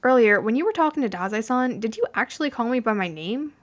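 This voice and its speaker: A woman voicing an anxious, soft-hearted young male detective in a fan fiction reading. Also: on the high side, lively intonation, quick pace, natural voice. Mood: worried, questioning.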